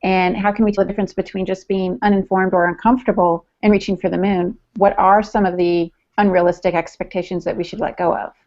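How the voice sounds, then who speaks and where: A woman's voice: medium pitch, little pitch movement, quick pace, few pauses, darkish tone. A middle-aged woman asking questions casually as a podcast co-host on a video call. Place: room at home. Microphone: video call with earbuds. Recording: dull, delayed video-call audio.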